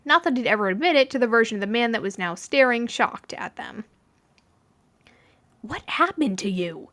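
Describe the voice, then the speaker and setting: Expressive woman reading a story. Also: a little high, quick pace. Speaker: fan fiction reader. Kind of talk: expressive scripted story narration.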